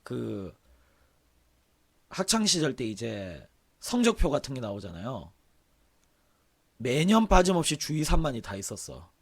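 A man with a hoarse, sore-throated voice, chatting casually on a livestream as he reads aloud and comments offhandedly. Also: mid-range voice, sing-song, a little slow, long pauses. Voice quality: hoarse, husky. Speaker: game streamer.

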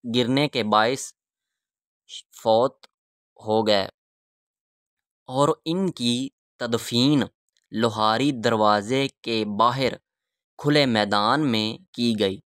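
A man narrating a historical walking tour in a measured, reverent, halting way, phrase by phrase. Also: mid-range voice, a bit bright, clear, resonant tone, dry recording, clean studio sound. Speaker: vlogger and guide.

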